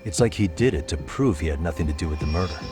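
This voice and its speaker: A man playing a calm but insistent investigator in a dramatic game cutscene, pressing for answers in a serious, deliberate way. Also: low voice. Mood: grave. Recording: dramatic music behind.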